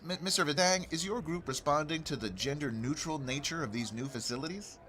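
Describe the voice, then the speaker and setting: A man playing an earnest local radio news anchor in a parody newscast, formal, measured and politely persistent. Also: on the high side, pushed and bright. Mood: politely exasperated.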